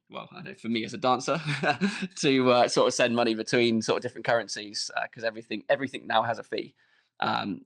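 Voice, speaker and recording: Gravelly flat-voiced male dance teacher. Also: fairly low pitch. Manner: halting, many fillers and restarts. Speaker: dance teacher, podcast guest. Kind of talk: casual podcast conversation.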